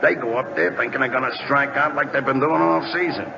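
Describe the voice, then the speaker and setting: A man playing a gruff, encouraging baseball catcher in an old radio drama, with slangy, blunt, streetwise talk. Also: medium pitch, fairly quick, lightly acted. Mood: gruff reassurance. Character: gruff, scrappy, encouraging male ballplayer, a catcher. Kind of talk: 1940s-50s radio drama acting. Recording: muffled, noisy old radio recording.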